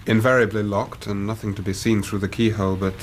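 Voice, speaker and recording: Radio drama casting: male actor as sincere, courteous English gentleman, measured literary delivery, fairly low pitch, light background noise. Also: flat delivery, lightly acted. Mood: reflective. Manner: formal, measured, earnest. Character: earnest, well-spoken young English gentleman telling his story. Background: storm wind ambience.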